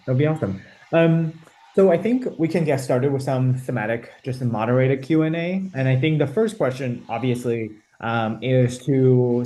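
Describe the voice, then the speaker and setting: A man with a mellow, warm, mid-pitched voice moderates an online panel. He rambles through his questions with frequent fillers and restarts over a video call. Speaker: host and moderator.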